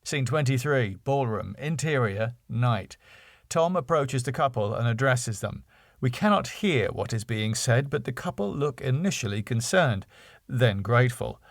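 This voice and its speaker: A man's voice: mid-range voice, expressive, measured pace, vocal fry, no room sound, studio quality. A man narrating a comedy script, reading out scene headings and stage directions. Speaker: writer and narrator.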